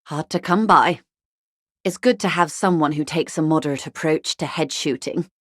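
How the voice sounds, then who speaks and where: A genteel, worldly negotiator speaks in a slightly low female voice with cool, ironic detachment. Her delivery is measured and precisely worded, as scripted game dialogue. The sound is dry, with no room around it. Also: fairly bright tone, no echo. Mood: wry, detached. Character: worldly, wry corporate negotiator woman, coolly detached and genteel.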